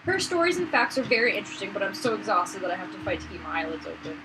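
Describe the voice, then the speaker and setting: A woman's voice: mid-range voice, very animated, rapid-fire. A woman co-hosting a let's play, reading the story narration aloud in a casual way. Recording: noisy room, makeshift mic.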